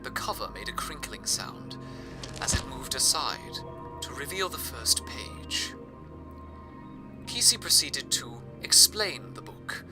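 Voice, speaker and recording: A man playing a cheerful, loyal radio broadcaster of a dystopian city, speaking in a theatrical, grandly formal way like old-time radio. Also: on the high side. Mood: hushed storytelling. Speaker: radio show host. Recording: music playing behind the voice.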